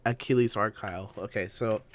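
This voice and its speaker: A man chatting casually as a podcast co-host, halting and often repeating himself. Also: on the low side, steady tone.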